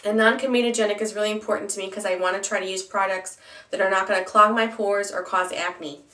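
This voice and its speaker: A woman's voice: mid-range voice, steady tone, quick pace, a bit bright, roomy sound, recorded from a distance. A young woman with a stuffy, nasal voice from a cold, chatting casually through a product review with plenty of ums and restarts. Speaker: beauty vlogger.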